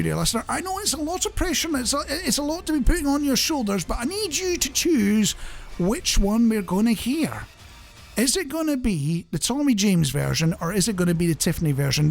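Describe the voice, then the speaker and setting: A man with a British accent presenting a radio show in a casual, rambling, conversational and self-deprecating way. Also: extremely high pitch.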